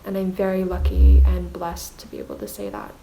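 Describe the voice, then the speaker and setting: A woman's voice: lowish voice, monotone, a little fast, creaky, dark tone, a little hiss or hum, rough recording. A woman whose native language is Mandarin, speaking in a reflective, self-conscious and hesitant video diary as an artist vlogger.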